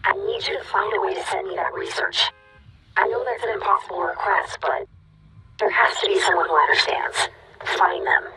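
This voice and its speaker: An earnest, grave resistance leader in a video game. The voice is fairly high and comes through a radio transmission buried in heavy noise. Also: male voice, normal speed. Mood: urgent, pleading. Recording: very noisy, crackling radio transmission.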